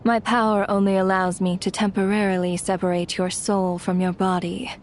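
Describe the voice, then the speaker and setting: A woman's voice: medium pitch, little pitch movement, normal speed, a little mellow, slight background noise, close to an everyday voice. A woman playing a cold, imperious ruler of death in a video game, speaking formally and with detached menace. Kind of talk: scripted video game acting.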